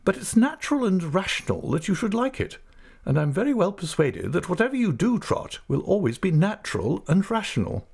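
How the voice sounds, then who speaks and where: A man reading an audiobook, voicing a brisk, stern but fond elderly aunt in a raised, emphatic voice. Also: sing-song, vocal fry, a little mellow, breathy edge, heavily acted. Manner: emphatic, decisive, high-pitched and commanding. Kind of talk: audiobook character voice.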